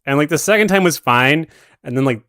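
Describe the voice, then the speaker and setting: A man speaking as a podcast guest, discussing films casually in a measured, analytical way. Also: a little high, lively intonation, fairly bright tone, dry recording, studio quality.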